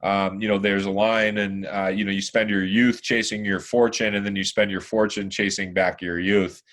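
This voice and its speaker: A man in his forties with a deep, hoarse voice, talking at length as a podcast guest, rambling with lots of fillers and restarts. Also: little pitch movement, normal speed, fairly bright tone. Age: 40s. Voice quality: deeper, hoarse voice. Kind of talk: casual chat.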